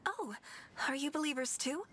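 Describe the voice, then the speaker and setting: Jilted fantasy game bride-to-be: high, dreamy, devout and fervent, music playing behind. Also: female voice, animated, moderate pace, fairly bright tone. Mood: wistful hope. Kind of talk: video game dialogue acting.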